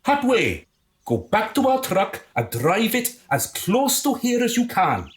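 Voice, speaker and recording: A man's voice: high-pitched, wildly swooping pitch, unhurried, full character voice. Man playing a smug, grandiose scheming club leader, swooping delivery. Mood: grandiose scorn. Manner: grandiose, theatrical. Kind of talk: radio drama acting.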